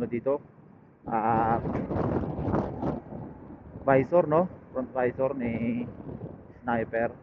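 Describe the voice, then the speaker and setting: Casting: male Filipino moto vlogger, casual halting chat, tinny narrow intercom sound, loud engine and road noise. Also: medium pitch. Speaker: motorcycle vlogger. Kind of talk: casual unscripted ride chat to viewers. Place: outdoors, riding a motorcycle. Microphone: helmet intercom headset linked to action camera. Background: loud motorcycle engine and road noise.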